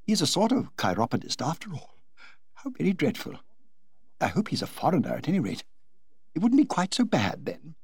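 A man voicing a flustered, easily shocked elderly duchess in a high, fluttery tone. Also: very animated, fairly quick, few pauses, no echo, clean studio sound.

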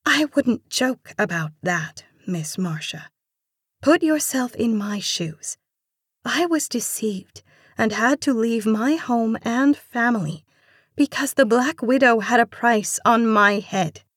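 A woman voicing a meek, polite but resolute immigrant woman in an audiobook story, soft-spoken and pleading. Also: medium pitch, slow pace, slightly rough, dry recording, studio quality, voice pushed up.

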